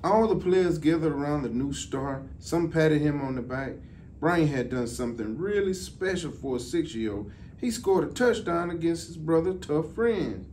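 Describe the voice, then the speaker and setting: A middle-aged man reads a children's storybook aloud in a measured, deliberate way. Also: a little high, lively intonation, darkish tone. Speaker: deputy fire chief. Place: indoor office, seated. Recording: distant mic, some background noise.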